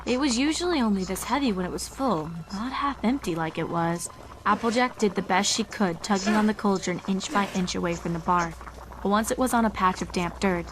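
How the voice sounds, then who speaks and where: A woman's voice: mid-range voice, a little hiss or hum. A woman reading a horror fan fiction story aloud as its narrator.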